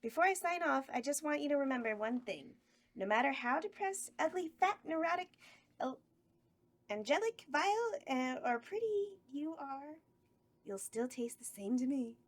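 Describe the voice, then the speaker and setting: An actress voicing a bawdy, campy horror hostess at a table read, drawling innuendo. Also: female voice, high-pitched, animated, normal speed.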